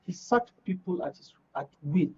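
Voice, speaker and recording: A Nigerian man argues as a guest commentator in a panel discussion, stressing and repeating his phrases. His voice is very high for a man and rough. The recording is dull and a bit distant. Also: expressive, normal speed, warm tone. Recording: dull audio, away from the mic.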